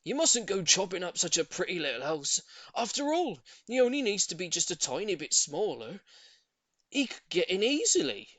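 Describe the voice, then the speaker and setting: A woman playing an eager, earnest older gentleman neighbour in a storybook, kindly and lovestruck. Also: on the low side, sing-song, normal speed, no room sound, pitched above the performer's own voice. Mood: eager, helpful.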